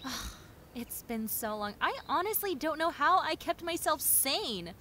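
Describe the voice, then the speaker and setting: A woman playing a friendly, clumsy young female zombie in a roleplay audio drama, talking in a chatty, expressive, rambling way. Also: high-pitched, unhurried, slight background noise, heavily acted. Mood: wistful, grateful. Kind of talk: roleplay audio drama, conversational monologue addressed to listener.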